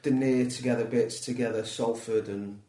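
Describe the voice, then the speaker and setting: A man's voice: mid-range voice, moderate pace, far from the mic. Mancunian man ranting, echoey room. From England, Manchester area. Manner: swearing, animated, jokey rants. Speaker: guest comedian. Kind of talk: casual podcast chat.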